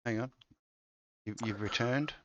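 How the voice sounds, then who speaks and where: A man's voice: mid-range voice, measured pace, dull audio, a crowd in the background. A man co-hosting a casual, absurd chat show, joking dryly with mock-dramatic asides. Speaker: co-host. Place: home setup, streamed show.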